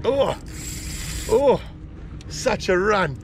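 Gravelly-voiced man cheering from a kayak. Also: sits high, very slow. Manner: excited whoops and cheering while fighting fish. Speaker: kayak angler. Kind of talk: casual fishing commentary. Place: kayak on open sea, outdoors. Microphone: action camera on the boat. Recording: outdoor camera with wind and water noise.

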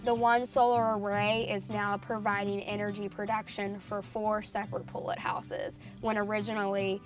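A woman's voice: medium pitch, flat delivery, moderate pace, soft background music. Woman farmer speaking outdoors, filler-heavy. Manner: conversational, frequent "um" fillers. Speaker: farm family member. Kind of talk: interview. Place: outdoors in tall grass by trees.

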